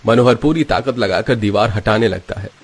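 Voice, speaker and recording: A man's voice: on the low side, normal speed, breathy edge, faint music behind. A man giving dramatic scripted narration of a story.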